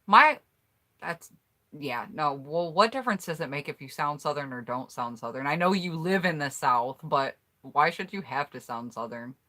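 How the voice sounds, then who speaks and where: A woman's voice: low-pitched, expressive, normal speed, sharp tone. An American woman chatting casually on a home mic, reading out viewers' answers in an upbeat, rambling way. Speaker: YouTuber hosting a collab tag.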